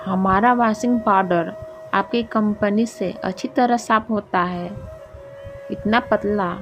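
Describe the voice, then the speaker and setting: A woman's voice: mid-range voice, steady tone, slow, lo-fi sound, a little hiss or hum, natural voice. A woman playing a rude, dismissive housewife in a cartoon moral story, curt and scornful. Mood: irritated, dismissive. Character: rude, dismissive housewife turning away a seller.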